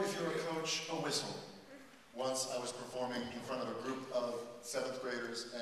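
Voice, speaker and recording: A man's voice: mid-range voice, slight background noise. Man reciting poetry in an echoey hall. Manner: dramatic, swings between loud emphasis and hushed lines. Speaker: performance poet. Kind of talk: spoken-word poetry reading to a student audience. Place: large school hall with a live audience. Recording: echoey hall recorded from far off.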